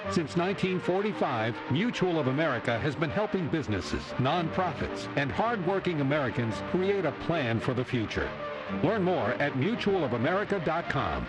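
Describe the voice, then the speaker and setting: A man's voice: fairly high pitch, big pitch swings, normal speed, low-quality recording. A man reading scripted radio commercials as an announcer. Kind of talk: scripted radio advertisement read. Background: music bed.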